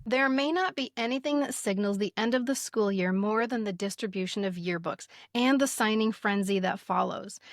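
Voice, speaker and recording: Higher-voiced woman co-hosting, close and dry. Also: dry recording. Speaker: podcast co-host. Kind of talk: conversational podcast hosting, lightly scripted.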